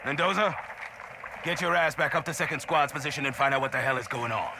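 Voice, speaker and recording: A man playing a gruff, barking marine sergeant. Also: fairly high pitch, quick pace, a crowd in the background, natural voice. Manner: barking orders, brusque. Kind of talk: video game cutscene voice acting. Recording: voice over noisy battle ambience.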